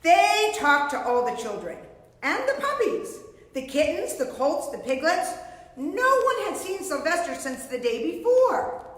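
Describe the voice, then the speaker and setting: A woman's voice: very high-pitched, big pitch swings, measured pace. A middle-aged woman reading a picture book aloud to young children, theatrical and explanatory. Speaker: children's storyteller. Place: indoors before a painted storybook backdrop. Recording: very echoey, reverberant room.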